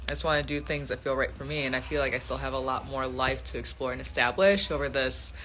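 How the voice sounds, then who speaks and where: A young woman in her twenties talks into her phone on a casual live stream, her tone bright and forward. She chats loosely, laughs easily and answers viewer questions one after another, with a faint hiss under her voice. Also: a bass voice, fast, rough recording. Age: 20s. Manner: chatty, laughs often, reads out viewer questions.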